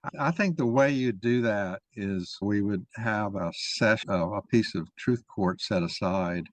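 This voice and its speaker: A man arguing a point in informal discussion, halting and rephrasing as he goes as the moderator. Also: fairly low pitch, dry recording. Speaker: moderator and main speaker of a mock-trial discussion.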